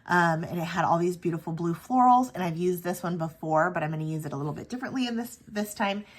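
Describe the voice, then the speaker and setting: A woman's voice: lowish voice, quick pace. A woman host chatting casually through her weekly planner setup, stuffy-nosed from a cold, with lots of ums and self-corrections. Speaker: planner sticker channel host. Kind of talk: casual planning vlog chat.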